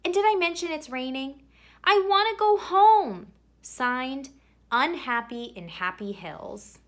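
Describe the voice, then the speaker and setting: A woman reading a children's picture book aloud expressively, with theatrical emphasis and drawn-out words. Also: sits high, expressive. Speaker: read-aloud storyteller.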